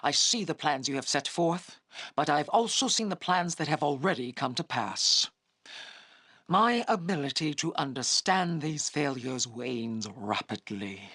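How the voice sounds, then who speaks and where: A woman playing a proud, menacing half-dragon army commander in a fantasy video game, imperious and scornful, with a husky rasp. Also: low-pitched, a bit bright, dry recording, clean studio sound, exaggerated voice.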